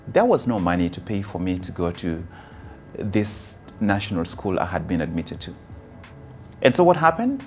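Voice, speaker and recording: A man with a Kenyan accent giving a motivational personal testimony, phrasing deliberately and dramatically with emphatic stresses. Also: fairly low pitch, a little mellow. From rural Kisii, Kenya. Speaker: motivational speaker.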